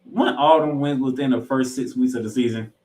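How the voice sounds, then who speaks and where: A man's voice: mid-range voice, fairly quick, a little mellow. A man hosting a sports podcast, giving emphatic, opinionated commentary and game recaps. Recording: roomy, echoing voice pickup.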